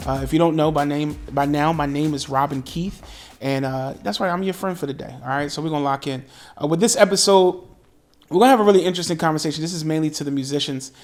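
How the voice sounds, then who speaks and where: A man's voice: on the high side, quick pace, a little mellow, faint music behind. A young man hosting a podcast, opening with showman greetings and joking with his guest. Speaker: podcast host. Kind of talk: casual interview hosting.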